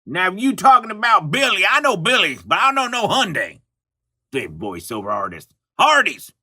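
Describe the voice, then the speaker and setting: Sharp, high-pitched Southern bumpkin coach. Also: male voice, huge pitch swings, moderate pace, breathy edge, full character voice. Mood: grumbling, dismissive. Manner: rambling, folksy, blustering. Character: boorish, cackling, dim-witted country man as bullying demo coach.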